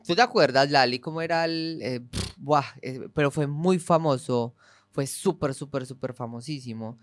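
Man, podcast co-host, mid pitch, chatty and hesitant, dry close mic. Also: moderate pace, dry recording. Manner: chatty, filler-laden. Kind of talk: casual conversation.

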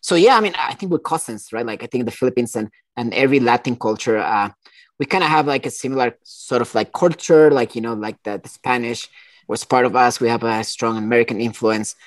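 Man, 40s, interview guest, relaxed rambling talk, mid-pitched, dry close sound. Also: a little fast, dry recording. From Costa Rica. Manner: relaxed, rambling, many fillers and restarts. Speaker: entrepreneur guest. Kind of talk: casual interview.

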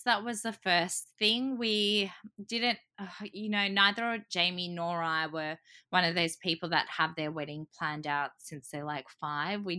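A woman's voice: on the low side, pushed and bright. A woman from Croatia, a podcast guest, talking chattily in an interview, laughing often and acting out what people said. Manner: chatty, laughs often, acts out quoted remarks. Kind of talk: casual interview chat.

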